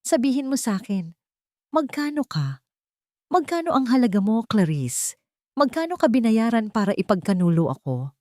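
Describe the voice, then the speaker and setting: A woman's voice: a little high, sing-song, moderate pace, long pauses, a little mellow, no room sound, pitched above the performer's own voice. Woman acting as wounded male CEO, Filipino accent, bitter, accusing, commanding, dry audiobook sound. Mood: hurt rage. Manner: accusing, cutting.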